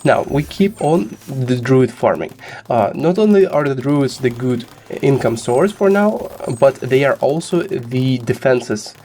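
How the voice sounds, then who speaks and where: A man's voice: mid-range voice, lively intonation, measured pace, mellow, slight background noise. Man with a sick voice explaining game strategy. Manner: instructive, many "uh" fillers. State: sick, slightly rough voice. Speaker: gaming guide host.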